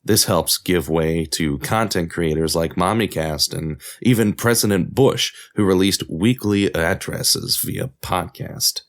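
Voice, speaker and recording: A man's voice: deep voice, a little slow, hardly any pauses. A man hosting a podcast, reading scripted narration as a creator of audio drama.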